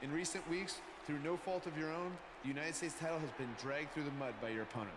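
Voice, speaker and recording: A man playing an assertive, earnest wrestling general manager in a video game cutscene, with a firm, brisk delivery. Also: fairly high pitch, close to an everyday voice. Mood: earnest, official. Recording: echoing hall, noisy background.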